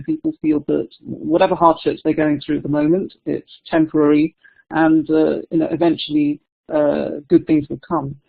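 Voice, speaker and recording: A woman's voice: deep voice, vocal fry, breathy edge. A young woman, an author, answering questions thoughtfully in an online discussion, with lots of "you know" fillers. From England, near London. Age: young adult. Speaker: author guest. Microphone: online call audio. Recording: tinny phone-like line.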